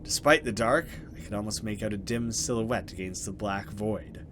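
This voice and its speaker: A man's voice: on the low side, normal speed, noisy background. A man hosting a let's play, reading horror narration dramatically and adding casual commentary.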